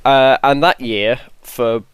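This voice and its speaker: Man with a clear voice, dry sound. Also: medium pitch, fairly even intonation, slow, no room sound. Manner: earnest, reflective. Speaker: podcast panelist. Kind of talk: casual podcast discussion.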